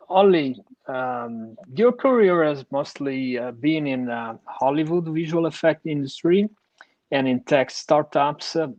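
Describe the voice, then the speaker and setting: A man's voice: on the high side, darkish tone, no echo, muffled recording. A man moderating a panel and putting questions to guests. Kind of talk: interview questioning.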